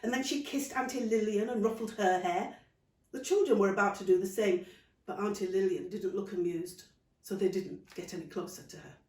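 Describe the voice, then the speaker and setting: A woman author reading her own children's story in animated storybook narration. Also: mid-range voice, moderate pace. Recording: roomy, distant microphone.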